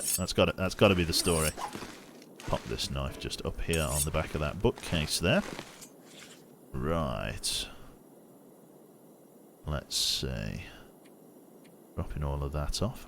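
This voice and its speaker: A man's voice: very deep voice, noisy background. A man streaming a game in casual commentary, chatty and talking through each action aloud, sounding slightly sniffly and congested. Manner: chatty, muttering his actions aloud as he plays.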